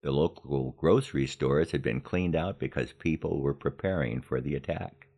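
An American man in his seventies narrating a scripted personal podcast in a measured storytelling manner with deliberate emphasis. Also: extremely low pitch, a little mellow. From Los Angeles, United States. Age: 70s. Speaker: podcast host.

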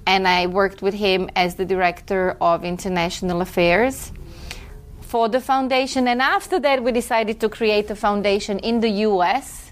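A woman speaks as an interview guest at a middle pitch. She talks in an unhurried, reflective way and corrects herself now and then. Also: steady tone. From Croatia. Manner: reflective and chatty, with occasional laughs and self-corrections. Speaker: guest, film festival director. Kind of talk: relaxed conversational interview.

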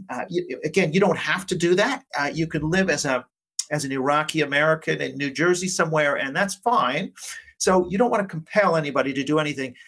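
Higher-voiced man explaining analytically. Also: a bit bright. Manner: analytical, qualifies points as he goes. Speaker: academic guest, politics professor. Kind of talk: conversational explanatory interview answers.

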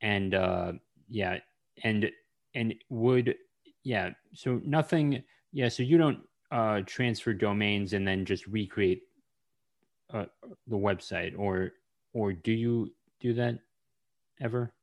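A man hosting a podcast interview, asking halting questions with many pauses and restarts. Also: fairly low pitch, gravelly. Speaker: podcast host.

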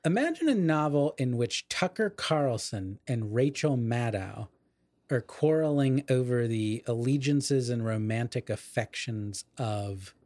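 A man's voice: mid-range voice, expressive, unhurried, a little mellow, no echo. Middle-aged man in dry, close sound. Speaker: literary podcast co-host. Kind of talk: casual literary discussion.

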